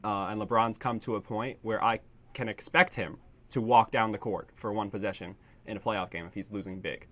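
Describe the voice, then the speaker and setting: A man's voice: lowish voice, fairly even intonation, brisk, sharp tone, dry recording. A young man co-hosting a sports podcast, talking casually with a nasal, congested voice from a cold. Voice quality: stuffy, nasal. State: congested, getting over a cold. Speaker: podcast co-host. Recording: faint background noise.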